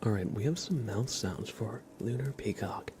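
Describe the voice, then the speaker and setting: A man hosting an ASMR livestream, whispering softly and slowly to his viewers in chat, close to the microphone. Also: lowish voice, animated, a little hiss or hum. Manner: soft, slow, unhurried, chatting to viewers. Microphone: close microphone, leaned into.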